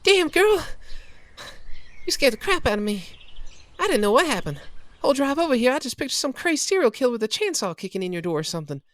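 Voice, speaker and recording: A man voicing a loud, brash young woman who swears freely and talks with strong emphasis, as a storybook reader. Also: very high voice, very animated, fast, pitched above the performer's own voice, heavily acted. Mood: alarmed.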